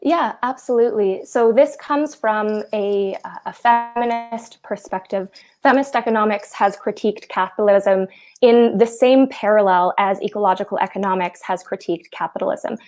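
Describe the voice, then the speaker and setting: A young woman on a video call who explains ideas in a clear, explanatory way, with occasional fillers. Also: mid-range voice, moderate pace, a little mellow. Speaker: researcher guest.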